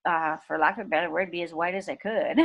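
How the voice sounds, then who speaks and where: A woman in her fifties, from Pakistan, talking thoughtfully as a podcast guest with soft laughs. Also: lowish voice, fast talker, creaky. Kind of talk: reflective interview talk.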